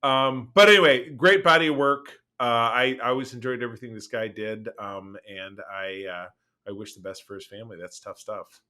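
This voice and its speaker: Bright, emphatic male podcast co-host. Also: medium pitch, moderate pace. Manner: animated, emphatic, repeats phrases. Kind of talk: casual chat about film and TV news.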